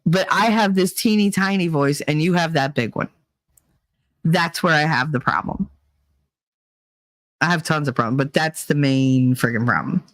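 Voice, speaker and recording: A woman's voice: deep voice, normal speed, long pauses, dry recording. Blunt, profane woman ranting her opinions. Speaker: vlog host. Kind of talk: casual opinion monologue.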